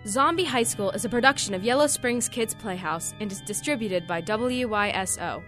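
Female announcer, mid pitch, scripted sponsor-read style, guitar music underneath. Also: normal speed, fairly bright tone.

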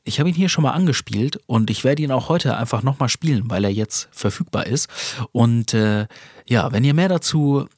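Chatty podcast host, stuffy from a cold, husky and dry, laughs easily. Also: male voice, mid-range voice, animated, normal speed, a little mellow, dry recording. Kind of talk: casual solo podcast talk. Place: small home studio.

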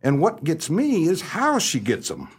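A man playing an exasperated, wry small-town husband in a stagey comedic radio play, animated and sardonic. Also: a little high, a little slow, breathy edge, exaggerated voice. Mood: emphatic, scornful.

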